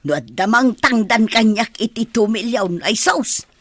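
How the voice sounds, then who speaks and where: A woman's voice: fairly high pitch, animated, unhurried, fairly bright tone, no room sound, clean studio sound. A woman playing an older, plain-spoken housekeeper in a radio drama, blunt and motherly. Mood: blunt, teasing. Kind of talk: melodramatic radio drama acting.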